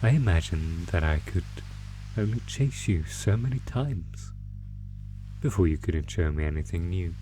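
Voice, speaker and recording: A man playing a sadistic monster who taunts captive prey with theatrical, drawn-out menace in an ASMR horror audio roleplay. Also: low-pitched, expressive, mellow, a little hiss or hum, poor audio. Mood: mocking, toying.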